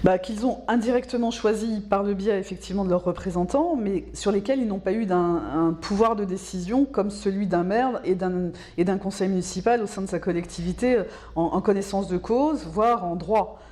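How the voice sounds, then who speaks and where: A woman giving explanatory interview answers as a specialist, in a measured, didactic way, repeating words for emphasis. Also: mid-range voice, dark tone, soft background music. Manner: measured, didactic, emphatic repetition, checks understanding with tags. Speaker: local government specialist being interviewed.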